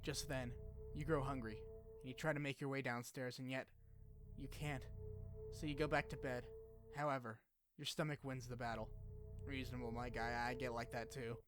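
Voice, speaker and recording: A man's voice: medium pitch, flat delivery, normal speed, long pauses. A man hosting a video essay, mixing scripted narration with casual, joking asides and some swearing. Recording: music behind the voice. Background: background music.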